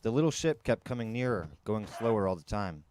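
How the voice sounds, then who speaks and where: A man's voice: on the low side, lively intonation, brisk, a little mellow, a little hiss or hum. A man narrating a first-person adventure novel in a dramatic storytelling style. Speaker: storyteller reading an audiobook.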